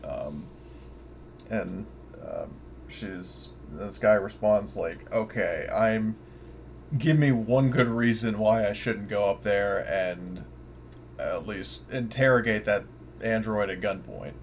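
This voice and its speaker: Brief: male tabletop player, lowish pitch, casual and sweary, home mic with faint noise. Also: takes it slowly. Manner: informal, sweary. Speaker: player in a tabletop roleplaying game. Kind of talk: casual online game session talk. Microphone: online voice chat mic.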